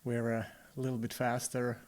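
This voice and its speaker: A man's voice: medium pitch, monotone, moderate pace, a little mellow. A man from Switzerland with a Swiss German accent, chatting casually as a podcast guest, laid-back and joking. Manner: laid-back, teasing, laughs easily. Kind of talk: casual conversation.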